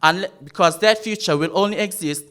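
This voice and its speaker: A man's voice: high voice, brisk, pushed and bright. A young man from Fiji delivering an emphatic, rhetorical competition speech to an audience. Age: young adult. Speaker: youth orator.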